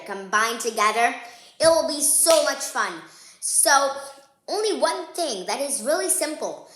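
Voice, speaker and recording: Woman doing a kids read-aloud, bright voice, chatty and informal, kinda echoey room. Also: medium pitch. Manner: chatty, informal, addressing young viewers directly. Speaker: host of a children's read-aloud channel. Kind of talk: storybook read-aloud and casual chat to viewers.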